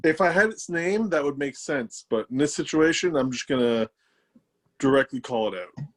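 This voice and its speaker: A man's voice: fairly high pitch, animated, normal speed. A man playing a tabletop game over a video call, joking and chatting casually. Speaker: player.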